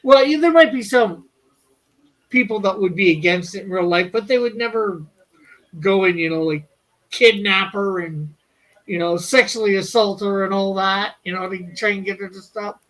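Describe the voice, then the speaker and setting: A man's voice: high-pitched, normal speed, a bit bright, rough recording. A man hosting a casual podcast, keeping an eye on the live chat and talking in an easygoing way. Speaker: podcast host reading the chat.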